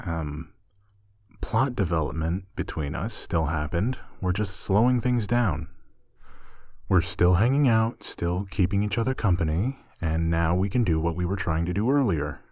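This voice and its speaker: Deep, slow, tender boyfriend-style roommate acted by a man. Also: dry recording, clean studio sound. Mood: gentle, reassuring. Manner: soft-spoken, tender, playful teasing. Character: affectionate, teasing male roommate gently pampering a shy catgirl. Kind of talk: intimate ASMR roleplay audio.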